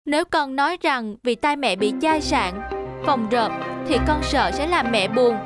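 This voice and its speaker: Loving schoolboy, high childlike voice, earnest, animated tale, music behind. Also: female voice, unhurried. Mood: remorseful. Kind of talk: animated fairy-tale dubbing.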